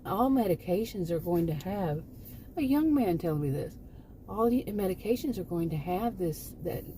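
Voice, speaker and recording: A woman's voice: lowish voice, warm tone, slight background noise, poor audio. A woman in her late fifties chatting to camera in a rambling, exasperated way, full of restarts and asides. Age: 50s. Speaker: vlogger. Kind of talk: casual chat to camera.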